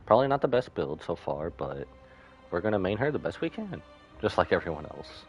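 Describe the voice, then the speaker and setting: A man's voice: sits low, big pitch swings, fairly quick, faint music behind. A man doing casual live game commentary as a streamer.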